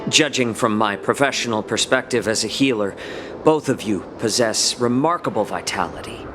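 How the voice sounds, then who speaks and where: An actor playing a courteous, composed fox-folk healer in a game cutscene, speaking in a polite, measured, formal way. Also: male voice, lowish voice, noisy background, lightly acted. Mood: calm, appraising. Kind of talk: scripted game cutscene acting. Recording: background music under game dialogue.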